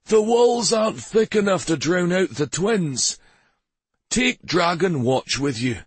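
A man's voice: sits high, sing-song, takes it slowly, breathy edge, no echo, voice pushed up, heavily acted. A man voicing an amused, genial king in a fantasy audiobook, teasing yet used to giving orders.

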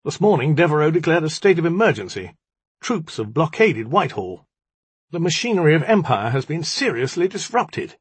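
Man voicing a haughty, raised-pitch young magician. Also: sing-song, normal speed, breathy edge, dry recording, exaggerated voice. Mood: cold, formal. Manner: haughty, accusing, dramatic. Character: bitter, self-pitying young male magician. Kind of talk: audiobook character dialogue.

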